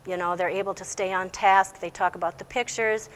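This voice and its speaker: A woman's voice: medium pitch, steady tone, fairly quick, a bit bright, poor audio. A woman teacher giving plain-spoken, informative answers in an interview, explaining how things work. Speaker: English language teacher. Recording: faint background noise.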